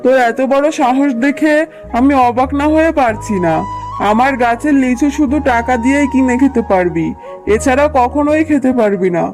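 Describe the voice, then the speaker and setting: A woman's voice: high-pitched, moderate pace, a little mellow, soft background music, lightly acted. A woman voicing a strict, stingy tigress boss in a children's cartoon, scolding, sarcastic and domineering. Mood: scornful, refusing.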